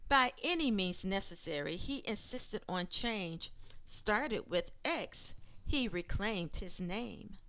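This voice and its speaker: A woman reading aloud like an educator sharing a children's book, with deliberate and expressive phrasing. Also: medium pitch.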